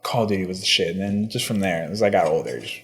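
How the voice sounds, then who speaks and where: Laid-back podcast host, lively low voice. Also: male voice, fast talker. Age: 30s. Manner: laid-back, slangy, trailing fillers. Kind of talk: casual chat.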